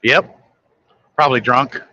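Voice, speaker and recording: A man's voice: medium pitch, a little slow, bright, forceful tone, no echo, muffled recording. A man chatting casually late at night on a live stream, blunt and griping, swearing freely.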